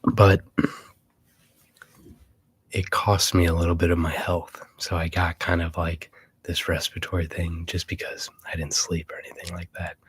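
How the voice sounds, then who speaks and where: Very husky, low whispering ASMR man. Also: monotone, moderate pace, no echo. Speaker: livestream host. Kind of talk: whispered ASMR livestream chat.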